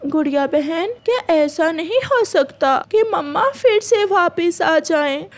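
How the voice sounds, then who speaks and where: Woman playing little cartoon boy bird, very high, weepy, pleading, slow, remorseful. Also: expressive, heavily acted. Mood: tearful remorse. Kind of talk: children's cartoon story acting.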